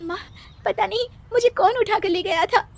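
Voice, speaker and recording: A woman's voice: very high-pitched, normal speed, vocal fry, voice pushed up. A woman voicing an eager, idealistic young village girl in a cartoon story, speaking earnestly. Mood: distressed, pleading. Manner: earnest, hopeful, pleading.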